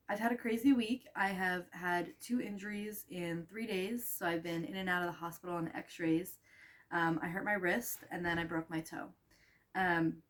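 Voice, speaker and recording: A young woman from Russia with Russian as her first language walks through her lesson plan, chatty and loose, full of ums and small restarts. She sounds a bit worn out and low on energy. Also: a bit bright. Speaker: student teacher presenting a reading lesson. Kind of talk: casual explanation to camera.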